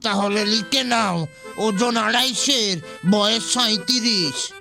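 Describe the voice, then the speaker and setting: A man playing a tiny, fussy, stubborn old man in a children's audio story, with a creaky, querulous drawl. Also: sits high, slow pace, sharp tone, exaggerated voice. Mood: fussy, busy. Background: music.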